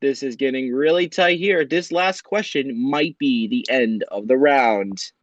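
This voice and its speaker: A man hosting a quiz show, reading questions with drawn-out pauses for suspense. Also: mid-range voice, no room sound, muffled recording. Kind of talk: hosting a voiced game show on a video game platform.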